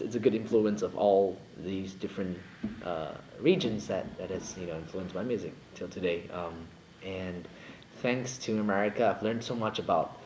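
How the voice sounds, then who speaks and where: A man's voice: fairly low pitch, a little mellow, a little hiss or hum. Playful young man from Singapore. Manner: playful, jokey, rambling with many fillers. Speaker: singer, interview guest. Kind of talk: casual interview chat. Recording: roomy echo, faint ambience.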